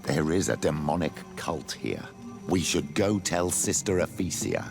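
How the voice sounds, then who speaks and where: A man's voice: extremely low pitch, a little slow, over music. An actor playing a stern, solemn old clergyman in a video game. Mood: grave. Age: older. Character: gruff old clergyman, stern about pagan cults.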